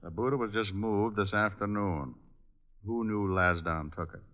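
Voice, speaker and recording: An actor playing a cool, wry American private detective, speaking and narrating in clipped old-time radio style. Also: male voice, on the low side, fairly even intonation, moderate pace, natural voice. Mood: probing. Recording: thin, phone-like old radio recording.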